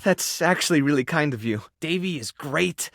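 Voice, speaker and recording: A male actor in a dating video game playing an earnest, tender, awkward keeper of an animal rescue, sincere and prone to halting rambles. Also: fairly high pitch, lively intonation, gravelly, fairly bright tone, no room sound, studio quality, heavily acted. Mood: excited, flustered. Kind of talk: video game character voice acting, dating simulator.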